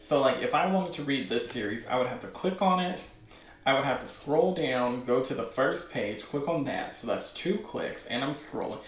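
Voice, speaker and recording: A man hosting a show with casual, unscripted commentary, rambling as he thinks out loud. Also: medium pitch, animated, normal speed. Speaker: host of a webcomic news show. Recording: roomy, echoing sound.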